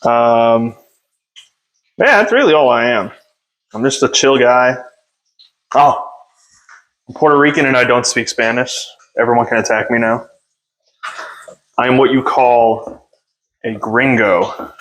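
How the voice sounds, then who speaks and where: A man's voice: mid-range voice, unhurried, long pauses, a bit bright, low-quality recording. A young man hosting a podcast from home, talking off the cuff into a phone on a stand, rambling and self-deprecating, with lots of fillers. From United States. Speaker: podcast host. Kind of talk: unscripted casual monologue.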